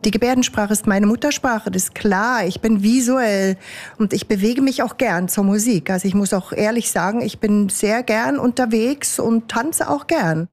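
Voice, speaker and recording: A woman's voice: mid-range voice, normal speed, a little mellow. A woman speaking in an interview as an interpreter, in everyday conversational phrasing. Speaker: sign language interpreter. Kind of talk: interview answers and spoken interpreting.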